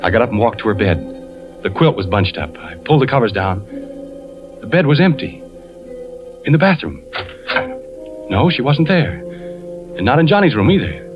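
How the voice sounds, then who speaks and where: An actor playing an anxious, suspicious husband, narrating hesitantly in a 1940s radio drama. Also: male voice, mid-range voice, sing-song, moderate pace, long pauses, close to an everyday voice. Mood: brooding. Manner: hesitant, brooding confession. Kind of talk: 1940s radio acting, first-person narration. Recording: dull 1940s radio recording, music behind.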